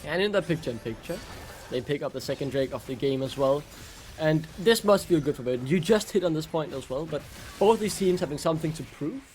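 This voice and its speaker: A man's voice: a little high, animated, brisk, darkish tone, soft background music. A man whose first language is Danish, casting a live esports match in chatty commentary and quizzing his co-caster.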